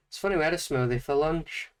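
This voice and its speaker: Croaky Northern English man chatting. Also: on the high side. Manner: relaxed, chatty, laughs often. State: croaky from a slight hangover. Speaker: streamer. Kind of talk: casual livestream chat while drawing.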